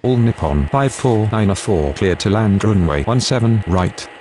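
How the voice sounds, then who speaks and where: A man's voice: lowish voice, expressive, measured pace, warm tone. A man speaking clipped, scripted aviation radio phraseology in an air traffic control game.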